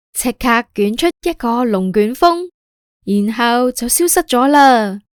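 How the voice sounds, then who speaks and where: A woman's voice: fairly high pitch, darkish tone, dry recording, studio quality. A woman with a Hong Kong Cantonese accent narrating a scripted story for children as a podcast host.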